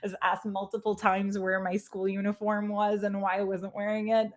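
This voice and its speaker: A woman's voice: medium pitch, monotone, moderate pace. A woman in her thirties from Quebec whose first language is French, hosting a teaching webinar in a chatty, self-deprecating way, laughing often and filling with "like". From Quebec, Canada. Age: 30s. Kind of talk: casual webinar talk.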